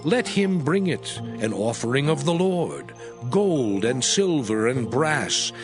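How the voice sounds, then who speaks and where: Commanding biblical lawgiver, slow measured delivery, solemn declamation, audio scripture drama, clear music behind. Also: male voice, mid-range voice, big pitch swings. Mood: solemn, instructing. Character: solemn commanding male biblical voice giving divine law.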